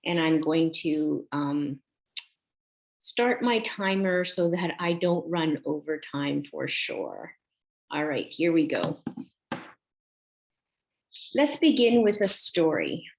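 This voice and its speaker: A woman in her forties, born in Vietnam, speaks in a low voice and takes her time. She tells a personal story to a conference audience and pauses often with soft ums. Her delivery stays slow and thoughtful. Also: sing-song, creaky, poor audio. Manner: slow, reflective, with frequent ums.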